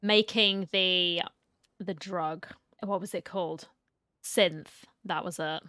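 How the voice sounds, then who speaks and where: A woman's voice: fairly low pitch, fairly even intonation, slow, bright, forceful tone, no echo, clean studio sound. A woman streamer giving casual reaction commentary while playing through a game.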